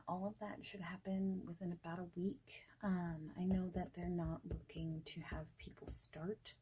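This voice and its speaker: A woman doing a soft-spoken ASMR interview roleplay, with a breathy voice that often slips into whispering and a casual, hesitant, chatty delivery. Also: fairly low pitch, low-quality recording.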